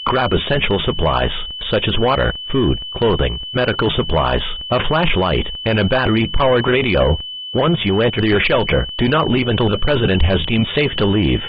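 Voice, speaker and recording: A man's voice: on the low side, very animated, normal speed. A synthetic-sounding male voice reading a scripted emergency alert as an announcer. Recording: muffled lo-fi radio broadcast, loud hum.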